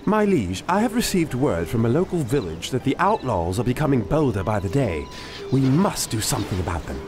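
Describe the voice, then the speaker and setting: A man's voice: mid-range voice, very animated, fairly quick, music in the background, lightly acted. An actor playing an earnest medieval steward in a video game, reporting to his lord in a deferential, theatrical manner. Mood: concerned. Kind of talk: video game cutscene acting.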